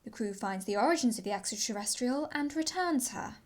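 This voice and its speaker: A woman's voice: on the high side, lively intonation, fairly quick, natural voice. A woman playing a precise, unemotional female ship's computer with a formal manner in an audio drama. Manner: formal, matter-of-fact.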